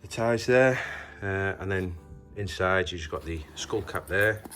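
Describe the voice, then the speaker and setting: A man with a very deep voice talks through a hands-on installation job. He is casual and matter-of-fact, pausing and hesitating as he works, with no script. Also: fairly bright tone, faint music behind. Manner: matter-of-fact, hesitant, talking while working with his hands. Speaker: presenter of a DIY installation tutorial. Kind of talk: casual unscripted how-to demonstration.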